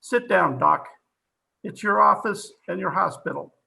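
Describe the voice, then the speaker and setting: Brief: skeptical police captain, acted by a man, sharp high voice, brusque interrogator, old-time radio mystery style. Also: measured pace, gravelly, slightly rough, exaggerated voice. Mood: skeptical, impatient. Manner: brusque, demanding. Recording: home video-call recording.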